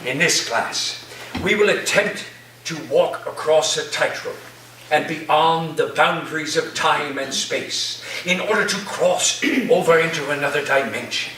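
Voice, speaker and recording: A man acts out an eccentric literature professor in a staged reading, grand and teasing. The high voice moves slowly and deliberately, with theatrical pauses. It carries from a distance in a roomy space. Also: pushed and bright, slight background noise. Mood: grandiose. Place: room, live staged reading, recorded from afar.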